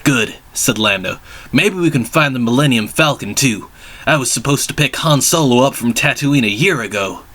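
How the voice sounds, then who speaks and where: A casual, plain-spoken adventurer, read by a man in a story. The voice sits in the middle range with a bright, forward tone. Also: mid-range voice, animated, moderate pace. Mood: businesslike. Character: laid-back male adventurer, plain-spoken sidekick.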